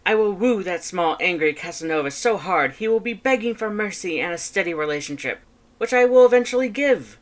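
A woman's voice: medium pitch, lively intonation, a little fast, voice pushed up, lightly acted. A woman voicing a proud, competitive male skeleton in a fan fiction audiobook, speaking emphatically and formally with theatrical flair. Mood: proud, boastful.

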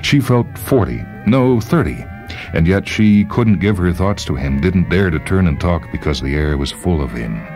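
A man's voice: deep voice, lively intonation, moderate pace, a little mellow. A man narrating a literary short story dramatically, with music behind him.

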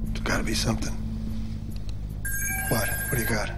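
A man's voice: fairly low pitch, level tone, normal speed, lightly acted. An actor in his forties playing a guarded, weary operative, speaking tersely in an understated film style. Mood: terse, tense. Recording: film dialogue under loud music.

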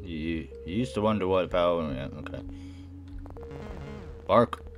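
Casting: young adult male let's-player, low register, offhand read-aloud delivery with casual asides, light music underneath. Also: a little fast, highs cut off. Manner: stumbles over words while reading. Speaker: gaming video host. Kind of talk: casual let's play, reading game text aloud with reactions. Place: small room at home, indoors. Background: game music.

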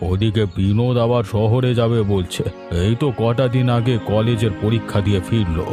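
A man playing a gruff, worried middle-aged farmer father in a cartoon, with a grumbling, weary manner. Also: fairly low pitch, fairly even intonation, moderate pace, over music, close to an everyday voice. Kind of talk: Bengali moral-story cartoon dubbing.

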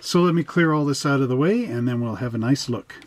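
A man's voice: medium pitch, brisk. A middle-aged man, chatting in a folksy, informal way as he hosts a casual hobby walkthrough. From western Canada. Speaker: host of a model kit channel. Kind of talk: casual unboxing and instruction walkthrough.

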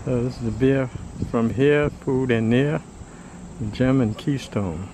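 A man's voice: medium pitch, noisy background. An older man casually narrating a walk through a restaurant, filmed on a handheld camera. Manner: plain, unhurried commentary while walking. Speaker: travel vlogger. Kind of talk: casual walking tour narration. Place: restaurant indoors and patio.

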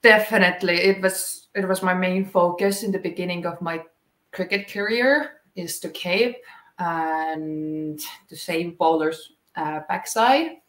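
A young woman from Estonia, an English speaker, chatting casually and a little haltingly in an interview. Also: on the low side, fairly bright tone. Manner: informal, slightly halting, giggly. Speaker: cricket player and coach. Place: small indoor room, seated on a couch. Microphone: shared video call microphone, at some distance. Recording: roomy echo on a video call.

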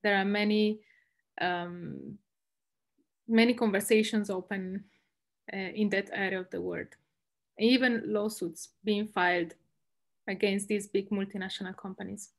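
A woman's voice: mid-range voice, fairly even intonation, a little slow, vocal fry, long pauses. Young Italian woman explaining. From northern Italy. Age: young adult. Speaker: guest speaker, environmental law specialist. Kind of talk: explaining on an online panel. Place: indoors, room at home. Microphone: video call, laptop distance.

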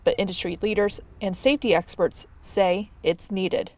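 A woman narrates a scripted news report. Her pitch is in the middle range, and the sound is dry with no room around it. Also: steady tone, normal speed, a little mellow, dry recording.

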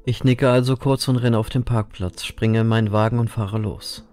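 An actor playing a calm, laconic ferryman hero, telling and acting a noir audio drama. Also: medium pitch, moderate pace, a little hiss or hum, natural voice. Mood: brooding narration. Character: male grim-reaper ferryman, laconic city noir hero.

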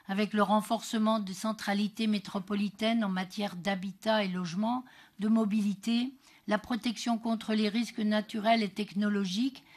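Woman, French accent from France, slightly high voice, formal council speech, measured delivery, reading prepared remarks. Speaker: elected metropolitan councillor, group co-president. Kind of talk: formal political speech, read from prepared text.

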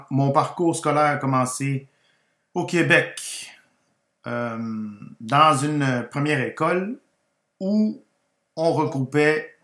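A man with Spanish as his first language narrates in an educational style, setting out each phrase deliberately. His voice sits at a medium pitch. The recording is roomy, with clear echo around the voice. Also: a bit bright. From Guatemala, moved to Quebec, Canada aged ten. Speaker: language teacher and channel host. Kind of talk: personal story told as a slow educational narration.

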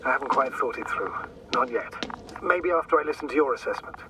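An actor playing an analytical male mission commander in a space game, speaking formally and deliberately over a radio. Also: medium pitch, lively intonation. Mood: formal, probing. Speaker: astrogator, superior officer. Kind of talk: dramatic game voice acting. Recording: slightly noisy, distant radio link.

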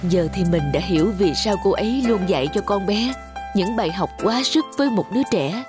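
A woman voicing a grieving young father in an animated dub; she speaks softly and low, with music playing under her. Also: fairly quick, lightly acted. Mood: reflective. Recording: studio voice over music.

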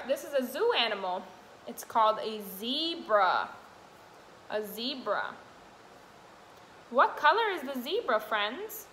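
A young woman speaks slowly to toddlers in a sing-song teaching manner, as if at storytime. Her pacing is unhurried, and she is recorded in an echoey classroom with faint room noise behind her. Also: high voice, bright, forceful tone. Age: young adult. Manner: sing-song, addressing small children with simple questions. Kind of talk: children's read-aloud and teaching to camera. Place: indoor classroom, sitting on the floor.

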